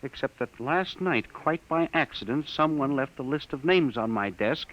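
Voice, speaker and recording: A man's voice: mid-range voice, animated, moderate pace, a bit bright, natural voice. An actor in a 1950s radio drama playing an earnest male investigator for a missing persons bureau who probes urgently and stammers when pressed. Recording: dull old radio broadcast.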